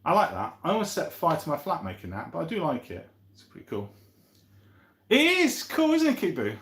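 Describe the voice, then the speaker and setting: Male streamer, 50s, very lively pitch, rambling and chummy chat, echoey room. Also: brisk. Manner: rambling, chummy, thinking aloud. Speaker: live stream host. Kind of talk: casual chat, reading out and answering viewer comments. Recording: roomy echo.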